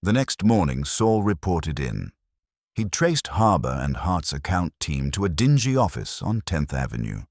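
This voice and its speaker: A man reading a scripted first-person detective story as narrator, with a wry, measured delivery. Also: low voice, big pitch swings, darkish tone, no echo, studio quality. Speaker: audiobook narrator.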